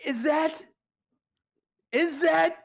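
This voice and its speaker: Woman as a slow, frazzled mob boss. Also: sits high, vocal fry, heavily acted. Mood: overcome. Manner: grumbling, exasperated, put-upon. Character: stressed, irritable male mob boss and father. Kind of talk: comic radio play acting.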